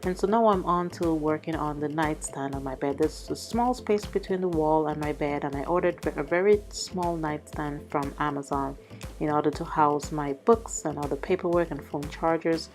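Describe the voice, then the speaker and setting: Female vlogger, low register, a touch hoarse with a cold, chatty step-by-step household narration over music. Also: moderate pace, darkish tone. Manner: conversational, explanatory. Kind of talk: casual cleaning vlog narration. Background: background music.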